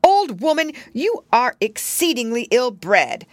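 A woman's voice: on the high side, big pitch swings, takes it slowly, no room sound, pitched above the performer's own voice. A woman voicing a pompous, scandalized senior lady-in-waiting in a storybook, speaking in a reproving manner. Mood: horrified. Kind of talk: storybook character voice.

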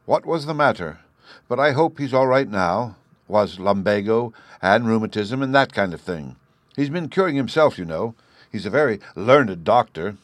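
A man voicing a kindly, jolly father who chats loudly and fondly in a storybook reading. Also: fairly low pitch, lively intonation, moderate pace, no room sound, natural voice. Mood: chatty. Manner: hearty, loud, fond.

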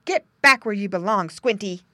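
A woman voicing a big, kind-hearted farm dog that barks loud commands. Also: medium pitch, animated, moderate pace, no echo, pitched above the performer's own voice. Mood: loud barking.

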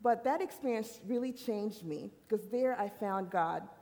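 A woman from Haiti giving a personal faith testimony at a university worship service, earnest and halting, often restarting her sentences. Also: medium pitch, warm tone. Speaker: worship speaker. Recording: long hall reverb.

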